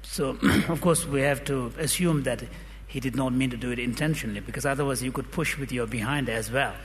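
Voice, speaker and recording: A man's voice: mid-range voice, a little fast, in a large hall, slight background noise. A man interpreting in a discussion, switching between languages and putting a question to the panel. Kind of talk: consecutive interpreting.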